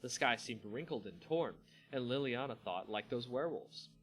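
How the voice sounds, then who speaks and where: A man's voice: medium pitch, animated, moderate pace, a bit bright. A man hosting a dramatic reading of a fantasy story, narrating with casual asides. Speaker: channel host and story reader. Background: music.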